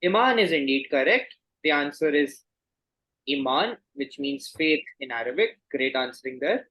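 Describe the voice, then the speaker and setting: A man hosting a quiz, reading questions and announcing results in a courteous, formal, measured way. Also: on the high side, animated, pushed and bright, poor audio.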